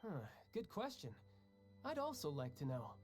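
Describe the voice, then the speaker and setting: A cocky, teasing young fighter. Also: male voice, a little high, huge pitch swings, moderate pace, darkish tone. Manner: breezy, joking, laughs often. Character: cocky young male warrior, restless and teasing. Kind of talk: video game dialogue. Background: game music.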